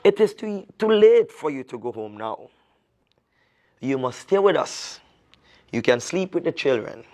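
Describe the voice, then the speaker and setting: A man's voice: fairly high pitch, big pitch swings, a little slow, a little mellow. A man voicing a sly, smiling male storybook alligator with a falsely friendly manner. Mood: sly, insistent.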